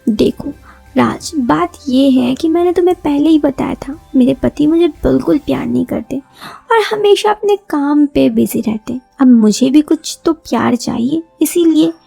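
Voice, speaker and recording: A woman's voice: high-pitched, a little slow, vocal fry, dark tone, faint music behind, heavily acted. A woman voicing a lonely married woman who confides and longs for love in a story. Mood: pleading, romantic. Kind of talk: storybook dialogue.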